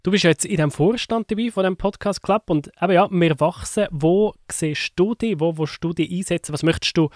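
Higher-voiced Swiss German male host. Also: moderate pace, few pauses, no room sound, clean studio sound. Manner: friendly interviewer asking questions. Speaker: podcast host. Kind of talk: podcast interview hosting.

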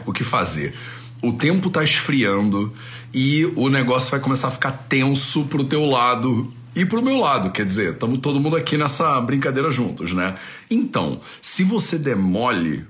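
A man's voice: medium pitch, very animated, normal speed, fairly bright tone. Chatty man teaching on a live stream. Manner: chatty, leans on tag questions and repeats phrases.

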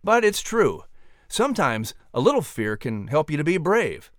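A man narrating and announcing in a lively scripted storytelling style for children. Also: a little high, normal speed, no room sound, studio quality.

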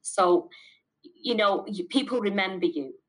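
A woman's voice: medium pitch, measured pace, low-quality recording. Middle-aged Mancunian woman, business owner, blunt and emphatic in interview. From north of England. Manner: emphatic, repeats words for stress. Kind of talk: candid interview answers.

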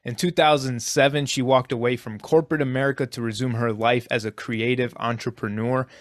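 A man's voice: mid-range voice, steady tone, brisk, no echo, clean studio sound. A man hosting a podcast interview, speaking earnestly and appreciatively, with frequent restarts. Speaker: podcast host.

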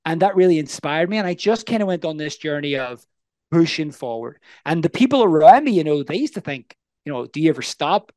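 Energetic Northern Irish man, dry sound. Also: fairly high pitch, brisk, dry recording. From Northern Ireland. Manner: energetic, earnest, asks the listener questions. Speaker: guest life coach. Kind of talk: casual interview storytelling.

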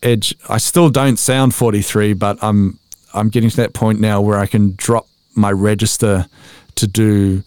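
A man's voice: lowish voice, flat delivery, measured pace, a little mellow, clear, resonant tone, no echo, studio quality. An Australian man in his forties chatting casually as a podcast guest, self-deprecating, telling stories and acting out quotes as he goes. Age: 40s. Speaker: voice-over artist being interviewed.

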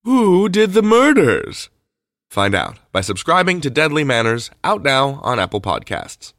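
A man's voice: a little high, very animated, unhurried, fairly bright tone, no echo. A man hosting a podcast, giving a conversational introduction into a close mic. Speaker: podcast host.

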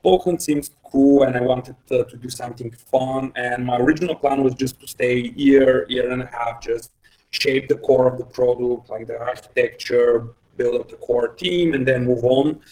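A man's voice: medium pitch, steady tone, normal speed, a little mellow. Hesitant Bulgarian-born man, distant mic. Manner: hesitant, many fillers and restarts, light laughs. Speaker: guest, head of engineering. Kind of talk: casual interview answers.

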